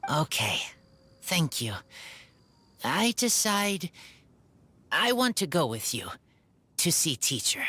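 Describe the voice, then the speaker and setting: Game role: shy feral teen boy, earnest, low-voiced and slow, clipped broken phrases with lively pitch swings. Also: slightly rough, heavily acted. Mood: resolved. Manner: halting, broken short phrases. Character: shy, earnest wolf-raised teenage boy of few words. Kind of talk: video game cutscene acting.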